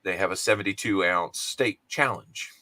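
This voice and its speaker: Co-host, forties, low voice, relaxed, chuckling casual chat. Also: male voice, moderate pace. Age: 40s. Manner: easygoing storyteller, chuckles often.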